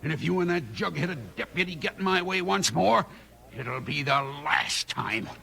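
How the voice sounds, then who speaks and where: Western radio villain: crooked salesman, smug bully, creaky voice, very animated delivery. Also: a little high, moderate pace. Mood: angry threatening. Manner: smug, pushy, threatening. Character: slick, scheming, bullying city salesman villain. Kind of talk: 1950s radio western acting.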